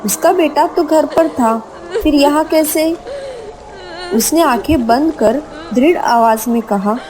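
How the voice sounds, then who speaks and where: A woman's voice: sits high, slow, low-quality recording. A woman narrating a scripted horror story with dramatic storytelling delivery.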